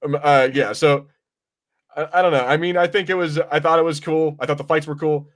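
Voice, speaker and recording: A man's voice: a little high, fairly even intonation, a little fast. A man hosting a podcast, chatting casually about films in an informal, slangy way with some mild swearing. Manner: informal, slangy, mildly profane. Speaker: podcast host. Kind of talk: casual film review chat.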